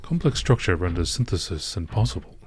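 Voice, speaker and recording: Low-voiced man narrating in documentary style. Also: big pitch swings, brisk, slight background noise. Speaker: narrator reading game lore entries.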